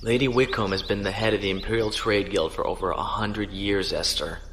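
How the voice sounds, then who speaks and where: A man playing a courteous, earnest starship captain in an audio drama, speaking in a measured, formal way. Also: fairly low pitch, steady tone, fairly bright tone, a little hiss or hum, lightly acted. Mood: concerned.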